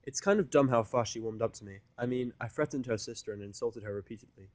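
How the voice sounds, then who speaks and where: Brief: male story narrator, medium pitch, close dry recording, first-person delivery with a blunt sardonic edge. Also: fast, darkish tone, no echo. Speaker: fan fiction narrator.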